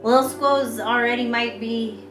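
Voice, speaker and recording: Squeaky-voiced woman co-host, loose and chatty, in a roomy space with music behind her. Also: steady tone, measured pace, fairly bright tone. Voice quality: high, squeaky voice. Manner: chatty, laughs easily, trails off and restarts. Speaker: podcast co-host. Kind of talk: casual chat.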